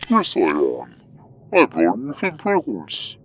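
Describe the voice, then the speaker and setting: A man's voice: lowish voice, huge pitch swings, takes it slowly, fairly bright tone, exaggerated voice. A man playing a monstrous, sinister grinning Santa in an audio horror play, with menacing mock friendliness. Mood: menacing cheer.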